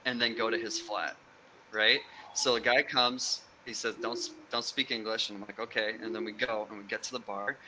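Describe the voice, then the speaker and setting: A young man, a guest on a podcast, telling a story in a casual, animated way. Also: on the low side, normal speed, noisy background. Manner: animated storyteller, acts out quoted people.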